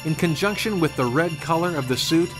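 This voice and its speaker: A man's voice: fairly high pitch, animated, a little fast. A man reading scripted storybook narration of a Christmas tale. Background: music.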